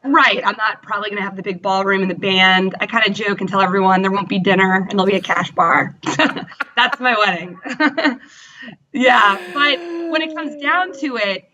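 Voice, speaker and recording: A woman's voice: medium pitch, normal speed, sharp tone, highs cut off. A woman in her thirties, a guest in an interview, telling her own story in a chatty way with many fillers and quoted self-talk. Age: 30s. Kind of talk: candid personal story.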